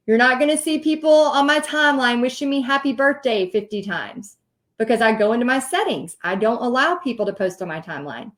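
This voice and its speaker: A woman's voice: fairly high pitch, animated, fairly quick, a bit bright. A middle-aged woman with a Texas accent giving an informal online coaching talk in a chatty, storytelling manner full of asides. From Texas, United States. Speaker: host and paint party teacher.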